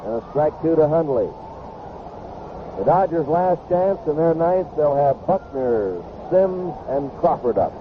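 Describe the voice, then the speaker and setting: A thin, phone-like old radio broadcast carries an American man's play-by-play over clear background noise. His voice sits somewhat high as he follows the baseball game pitch by pitch. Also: expressive, unhurried. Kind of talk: live radio sports commentary. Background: stadium crowd ambience and noise.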